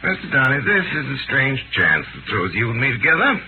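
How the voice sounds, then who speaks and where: An actor playing a bitter, drunken, self-loathing gentleman in a 1930s radio drama, rambling in a sardonic, tipsy drawl. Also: mid-range voice, wildly swooping pitch, fairly quick. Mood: sardonic, goading. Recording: noisy, muffled 1930s radio broadcast recording.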